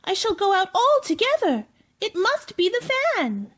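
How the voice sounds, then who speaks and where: A woman's voice: very high-pitched, wildly swooping pitch, a little slow, over music, exaggerated voice. A woman playing a polite, curious young girl in a radio play, talking with mannered wonder.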